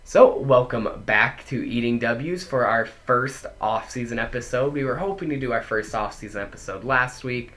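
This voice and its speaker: A man's voice: mid-range voice, moderate pace, hardly any pauses, a bit bright, a little hiss or hum. A young man co-hosting a casual sports podcast, his voice congested from a head cold, chatting his way through a news rundown. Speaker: podcast co-host.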